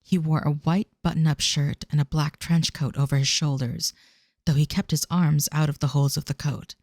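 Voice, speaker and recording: Woman narrator, low pitch, soft dark tone, scripted drama storytelling, dry close sound. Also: fairly even intonation, normal speed, no echo.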